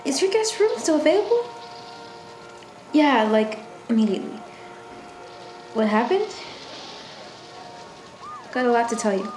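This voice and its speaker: An actress playing a desperate, lonely, nervous young woman in an audio drama, with halting, emotional delivery. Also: on the high side, big pitch swings. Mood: shaky relief. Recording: roomy recording under loud music.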